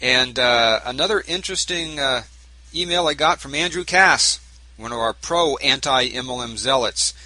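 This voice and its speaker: A man's voice: medium pitch, normal speed, bright, forceful tone, dry recording, low-quality recording. A man hosting a talk radio show, chatting casually with many fillers and restarts, with a sore throat. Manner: conversational, with frequent fillers and restarts.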